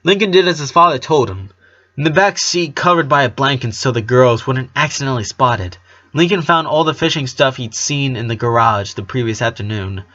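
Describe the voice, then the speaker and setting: Man, mid-pitched voice, amateur storybook narration, expressive, occasional stumbles, muffled lo-fi recording. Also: brisk. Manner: expressive, with stumbles and self-corrections. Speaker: amateur audiobook reader. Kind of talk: storybook narration with acted dialogue.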